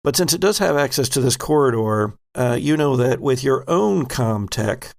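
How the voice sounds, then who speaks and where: A man narrating a tabletop game as game master, in an unhurried, descriptive way. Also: mid-range voice, gravelly.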